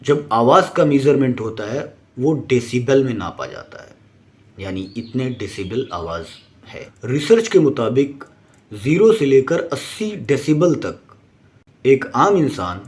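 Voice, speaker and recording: A man's voice: mid-range voice, very animated, a little slow, a little mellow. A man narrating a scripted explainer in an emphatic, didactic manner. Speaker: presenter.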